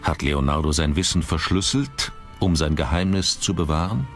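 Deep-voiced male documentary narrator. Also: animated, moderate pace. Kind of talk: scripted narration. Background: background music.

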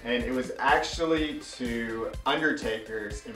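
A man's voice: mid-range voice, measured pace, fairly bright tone, soft background music. A man in his thirties co-hosting a casual, bantering show, recorded in a small room. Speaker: co-host of a comedy trivia show. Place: small furnished room indoors. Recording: roomy echo, slightly distant mic.

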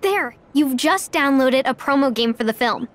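A perky teenage girl, friendly and helpful. Her voice is high, in game cutscene dialogue. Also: expressive, normal speed.

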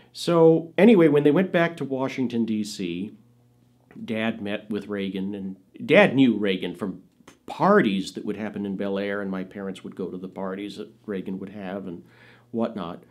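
A middle-aged man telling family anecdotes in a seated studio interview, animated and laughing, often jumping into high quoted voices. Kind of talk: anecdotal storytelling. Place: indoors, studio with dark curtain backdrop.

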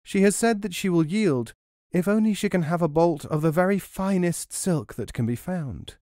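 A man's voice: sits high, animated, normal speed, warm tone, no echo, clean studio sound, pitched above the performer's own voice. A man voicing a cunning, bold old woman in a folk tale, sly and wheedling. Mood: haughty, wheedling. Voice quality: wheezy, mumbling at times. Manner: sly, sometimes falsely pious and humble.

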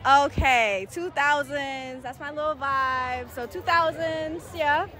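A woman's voice: sits high, slow pace, bright, forceful tone. A young woman chatting casually as a street-interview guest outdoors. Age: young adult. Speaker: interviewee, college student. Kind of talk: casual chat. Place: outdoors on a college campus.

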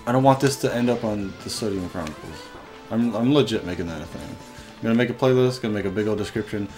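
Excitable swearing young gamer guy. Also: lowish voice, quick pace, over music. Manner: excitable, swearing, frustrated outbursts. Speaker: let's play host. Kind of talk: casual gameplay commentary.

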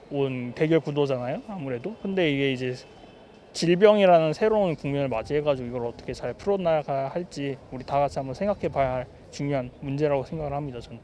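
A young man answering a street interview on a city sidewalk, speaking in a measured, thoughtful way into a handheld mic. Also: medium pitch, dark tone, slight background noise. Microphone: handheld mic held by interviewer.

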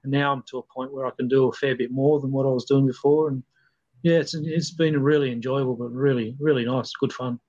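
A middle-aged Australian man recollecting casually on a video call, in an understated, halting way. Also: medium pitch, fairly even intonation, warm tone. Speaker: podcast guest. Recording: dull video-call audio.

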